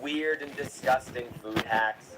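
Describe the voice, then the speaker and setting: A man doing sarcastic, animated video commentary, playing as a clip. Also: mid-range voice, unhurried, heavy background noise. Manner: sarcastic, animated, swings between hyped and emotional. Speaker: online commentator.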